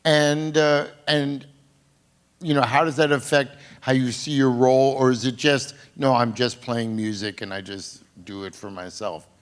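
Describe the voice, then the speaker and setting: A man's voice: mid-range voice, fairly even intonation, a little slow, clear, resonant tone. A man from Brooklyn moderating a panel, asking long, searching questions while hunting for words. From Brooklyn, USA.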